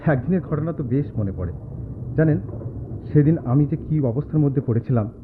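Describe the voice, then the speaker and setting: An actor playing a quiet, studious young man in a radio drama, speaking reflectively with dramatic pauses. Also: a little high, noisy background, close to an everyday voice. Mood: pensive, hesitant. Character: quiet, studious young man recalling his student hostel days. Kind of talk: Bengali radio drama acting. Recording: tinny lo-fi radio play, music behind.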